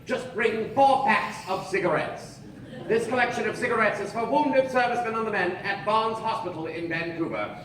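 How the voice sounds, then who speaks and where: In a roomy theatre, picked up at a distance, an actor plays a brisk 1940s radio newsman. His voice is high and swings widely as he reads wartime bulletins. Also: fairly bright tone, a little hiss or hum. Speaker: radio newsreader. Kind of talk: 1940s radio news reading. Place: on stage in an opera house, live. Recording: roomy live theatre, miked from afar.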